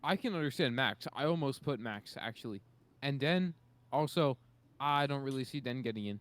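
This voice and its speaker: A man's voice: medium pitch, lively intonation, normal speed, fairly bright tone. A man chatting casually as a panelist on a podcast. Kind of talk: casual chat.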